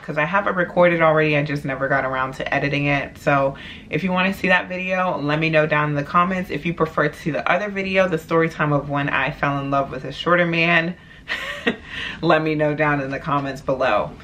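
A young woman with a deep voice chatting casually about her clothing haul in her bedroom, playful and laid-back. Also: fairly quick, fairly bright tone, slight background noise. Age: young adult. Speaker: vlogger. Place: small bedroom, indoors. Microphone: camera at desk distance.

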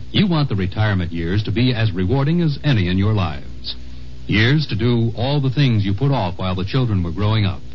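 An American man announces in polished 1950s radio advertising style. His mid-pitched voice moves animatedly, and the old recording is muffled with background hiss.

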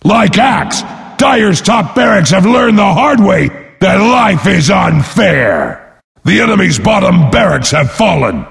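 An actor playing a brash, boastful, battle-hungry warrior brute as a pompous, bellowing video game announcer. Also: male voice, big pitch swings, takes it slowly, creaky, fairly bright tone, soft background music, full character voice. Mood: booming, triumphant. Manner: bellowing, pompous, speaks of himself in third person. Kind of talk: bombastic game announcer lines. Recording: long booming reverb.

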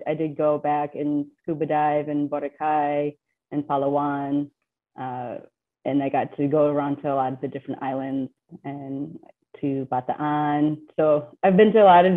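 A woman in her thirties, from the Philippines and raised in the US, talking casually as a guest on a video call, with frequent laughs and fillers. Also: deep voice. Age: 30s. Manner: chatty, laughs often, many fillers and restarts. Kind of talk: casual interview answers. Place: home office with bookshelves. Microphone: webcam on a video call. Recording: tinny, phone-like video call audio.

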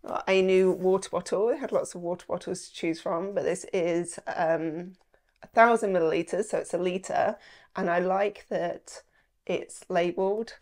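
A woman's voice: on the low side, darkish tone. Chatty woman, slightly nasal. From England. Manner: chatty, rambling, with frequent "like" and "um". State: slightly nasal from a starting cold. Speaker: lifestyle vlogger. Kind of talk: casual haul chat to camera. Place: small indoor room at home.

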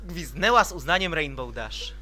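A man's voice: on the high side, expressive, moderate pace, sharp tone, soft background music. A man reading scripted story narration for an amateur comic dub.

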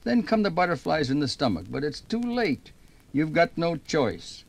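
A man's voice: fairly high pitch, big pitch swings, moderate pace, creaky. A man hosting in a folksy, chatty 1950s radio narration style, talking straight to listeners. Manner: chatty, conversational.

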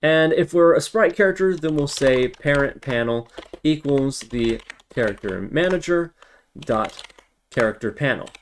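A man's voice: mid-range voice, expressive, unhurried, a little hiss or hum. Man talking through a coding tutorial. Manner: step-by-step, thinking aloud while working. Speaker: tutorial instructor. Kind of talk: informal screen-recorded coding tutorial.

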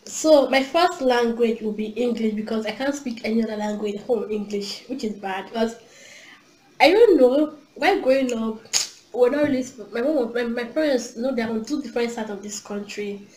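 Nigerian woman in her twenties, chatty home vlogger, higher voice, roomy echo and faint hum. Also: moderate pace. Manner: chatty, giggly, animated hand gestures. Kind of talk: casual chat to camera. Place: small indoor room at home.

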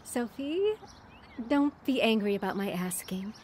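A woman playing a kindly, devout, reassuring neighbor in a radio drama, gentle and assured. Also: a little high, expressive, measured pace, a little hiss or hum.

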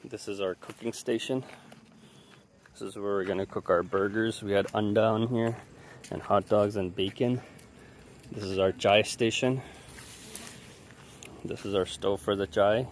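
Brief: man, low voice, plain listing tour, faint outdoor noise. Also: steady tone, unhurried, pauses often. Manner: plain, listing things one by one. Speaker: family member giving a campsite tour. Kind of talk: casual walkthrough tour. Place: outdoor campsite in woods.

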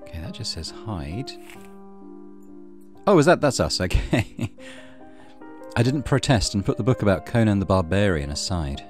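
A man's voice: on the low side, very animated, unhurried, pauses often, music in the background. A man hosting a let's play, reading story narration aloud with casual commentary.

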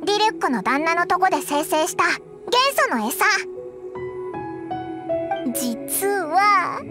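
A woman's voice: extremely high pitch, expressive, slow pace, heavily acted. A woman voicing a small childlike fairy companion in an anime game, cheeky, blunt and boastful. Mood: cheeky, eager. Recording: loud background music. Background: loud game music.

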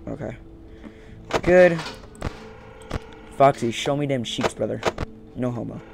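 A man's voice: medium pitch, slow pace, creaky, background noise. A young man giving casual gameplay commentary, rambling and muttering as he talks to the game's characters. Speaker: gaming streamer. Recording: loud game music behind voice.

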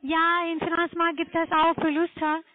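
A woman with a foreign accent giving a halting, formal sales pitch as a telemarketer on the phone. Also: level tone, no echo. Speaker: telemarketing caller, trading broker. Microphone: telephone call. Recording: tinny narrow-band phone line.

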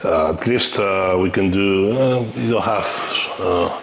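A middle-aged man hosting a massage video, talking casually with many fillers and restarts, recorded on a lapel mic in a roomy indoor room. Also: low-pitched. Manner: halting, many fillers and restarts, drops to a whisper while massaging. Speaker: massage therapist and host. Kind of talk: casual chat and whispered ASMR massage talk. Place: bright indoor room of an apartment. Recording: roomy room echo, faint background noise.

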